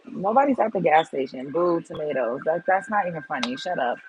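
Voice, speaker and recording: A woman's voice: low voice, lively intonation, brisk, a little hiss or hum, poor audio. A woman in her thirties from Brooklyn with a New York accent, chatting casually with her livestream viewers in a slangy, laughing way. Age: 30s. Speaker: livestream host.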